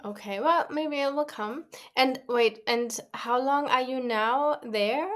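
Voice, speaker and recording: A woman hosting a podcast, asking casual, halting questions full of fillers. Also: high-pitched, fairly bright tone. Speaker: podcast host.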